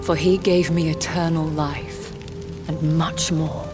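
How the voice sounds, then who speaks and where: A woman acting a refined, sorrowful vampire noblewoman in a video game, speaking in a formal, solemn, measured way. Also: sits low, steady tone. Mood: solemn reverence. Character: refined, sorrowful, devoted female vampire noblewoman of great age. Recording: music and rain behind the voice.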